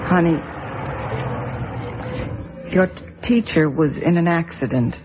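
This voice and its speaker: An actress playing a gentle, shaken mother breaking bad news, halting and slow in a radio drama. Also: lowish voice, natural voice. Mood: grieving. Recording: thin phone-like sound with noise.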